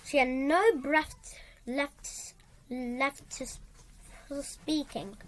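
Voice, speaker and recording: A woman reading a children's story aloud in a halting way, repeating and correcting words as she goes. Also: sits high, fairly even intonation. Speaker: storybook reader.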